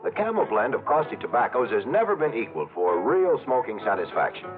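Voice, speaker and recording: Very animated vintage radio pitchman. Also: brisk, narrow, tinny audio. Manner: polished sales pitch. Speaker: commercial announcer. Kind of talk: 1950s-60s radio cigarette ad read. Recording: thin vintage radio recording, loud music.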